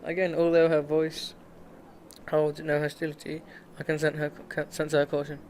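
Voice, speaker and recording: A man's voice: a little high, completely flat delivery, moderate pace, a little mellow, a little hiss or hum, low-quality recording. A male game streamer reading a visual novel aloud, stumbling over the text and dropping in casual, joking asides. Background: game music.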